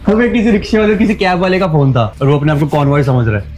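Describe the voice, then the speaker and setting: A man acts out a cocky young rival in an audio drama. His voice sits fairly high and he sneers and taunts with spite, with music clearly playing underneath. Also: fast, darkish tone, lightly acted. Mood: mocking. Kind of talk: Hindi audio drama acting.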